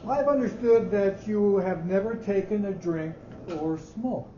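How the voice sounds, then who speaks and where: Man playing a high-voiced, fussy old boss, roomy recording. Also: mellow. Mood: grave, awkward. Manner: halting, formal, grave. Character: elderly male company boss, hesitant and fussy. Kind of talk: staged dramatic reading of a short story. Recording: roomy, muffled live recording with hiss.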